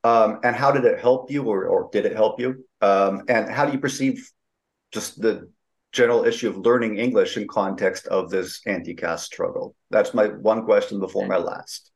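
Low-voiced rambling male host. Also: normal speed. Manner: enthusiastic, rambling with fillers. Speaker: podcast host. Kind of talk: interview questions.

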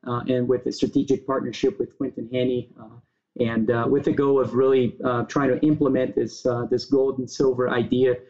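Flat-voiced Brazilian man, halting. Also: mid-range voice. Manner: halting, many fillers and restarts. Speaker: guest. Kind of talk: interview answers. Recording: dull lo-fi sound.

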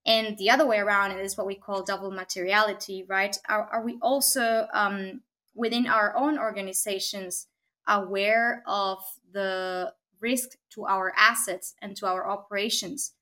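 A woman from Argentina, a technology consultant, speaking as a guest in a podcast interview, thoughtful, with frequent pauses and tag questions. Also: medium pitch, fairly even intonation, sharp tone. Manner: thoughtful, many fillers and restarts, frequent "right?".

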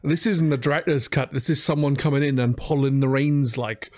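A man's voice: medium pitch, fairly quick, darkish tone. A man hosting a video game podcast in casual, conversational talk. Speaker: podcast host.

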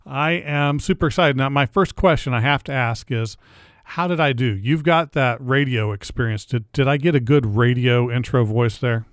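A man's voice: medium pitch, moderate pace, clear, resonant tone, dry recording, studio quality. A man hosting a podcast interview, chatty and curious, often restarting his phrases. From Indiana area. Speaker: podcast host. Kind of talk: casual interview.

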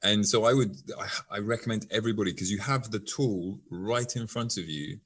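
Brief: male podcast guest, lowish voice, keen coaching manner, explains with examples. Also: fairly quick, fairly bright tone, husky. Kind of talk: conversational interview advice.

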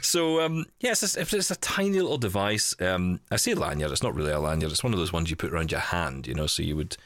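A man's voice: sits low, lively intonation, quick pace, fairly bright tone, dry recording, studio quality. A man hosting a podcast in casual, chatty style, thinking aloud with wry asides. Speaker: podcast host. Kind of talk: casual chat, live unboxing of a gadget.

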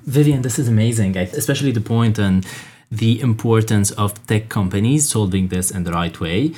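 Male podcast co-host, lower voice, eager, chatty. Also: normal speed, dark tone, breathy edge. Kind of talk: conversational interview.

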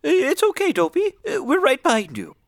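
High, fussy, flustered dwarf leader. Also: female voice, huge pitch swings, normal speed, heavy background noise, exaggerated voice. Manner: stammering, muddling words and correcting them. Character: fussy, flustered dwarf leader who muddles his words. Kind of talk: comic radio play acting.

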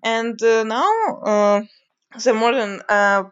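Slow hesitant woman, Ukrainian accent. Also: fairly high pitch, steady tone, a bit bright, smooth. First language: Russian. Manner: hesitant, many filler pauses while searching for words. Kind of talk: unscripted spoken monologue. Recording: dull lo-fi recording.

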